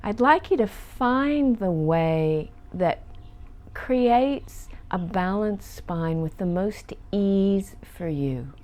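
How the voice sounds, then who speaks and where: A woman leading a guided meditation as a teacher, speaking gently and soothingly and lingering on her phrases. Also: medium pitch, lively intonation, very slow.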